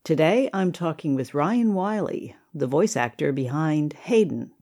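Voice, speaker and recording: A woman hosting a podcast interview as its writer and producer, talking in a measured way. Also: low-pitched, expressive, dark tone.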